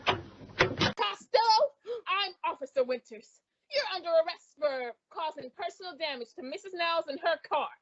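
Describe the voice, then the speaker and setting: A woman's voice: very high-pitched, animated, normal speed, fairly bright tone, highs cut off. A woman playing a bossy, brusque police officer in an old-time radio comedy. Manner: brusque, commanding.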